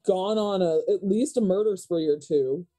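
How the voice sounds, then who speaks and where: A woman's voice: lowish voice, normal speed, warm tone, faint music behind. A woman playing a tabletop roleplay game, chatting casually and improvising narration for her character.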